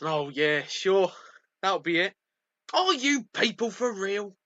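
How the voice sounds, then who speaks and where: Man acting fussy self-important office worker, sarcastic, high sharp voice, swooping pitch, radio drama. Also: sing-song, slow pace, dry recording, muffled recording, exaggerated voice. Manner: sarcastic, pompous, exasperated. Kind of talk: amateur radio play acting.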